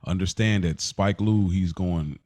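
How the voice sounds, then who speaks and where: A man co-hosting a podcast, talking casually and reflectively in halting, deliberate phrases with repeated restarts. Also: low-pitched, a little mellow, no room sound, clean studio sound. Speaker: podcast co-host.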